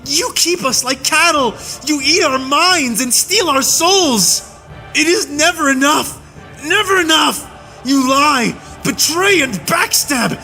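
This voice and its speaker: A man playing an enraged human soldier, tied up and hurling a breathless, shouted tirade of hatred in an audiobook. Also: sits high, gravelly, pitched above the performer's own voice, heavily acted, low-quality recording. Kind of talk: audiobook reading.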